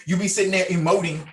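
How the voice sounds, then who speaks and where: A man chatting casually and explaining things in an informal, colloquial way on a video call, as the organiser of a table read. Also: sits high. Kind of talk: casual meeting chat. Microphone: video call microphone.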